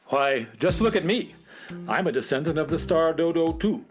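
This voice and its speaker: A man's voice: fairly high pitch, lively intonation, moderate pace, close to an everyday voice. An actor playing a cheerfully dim male alien guide in a children's radio drama, chatting like a chipper salesman. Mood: matter-of-fact. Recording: faint music behind.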